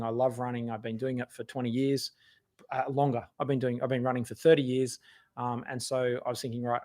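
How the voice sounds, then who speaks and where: A middle-aged Australian man with a voice worn hoarse, giving chatty, encouraging advice on a live video talk, with many restarts. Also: medium pitch, darkish tone. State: losing his voice. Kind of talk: casual motivational live talk.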